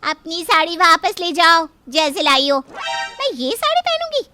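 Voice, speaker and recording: A woman voicing a haughty, quick-tempered and scornful young woman in a cartoon moral story. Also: extremely high pitch, sing-song, music in the background, full character voice. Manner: sharp, scornful, demanding.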